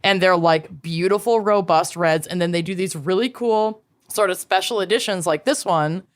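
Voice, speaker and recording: A woman's voice: lowish voice, normal speed. A woman co-hosting a casual podcast chat with friends, playful and teasing. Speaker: podcast co-host.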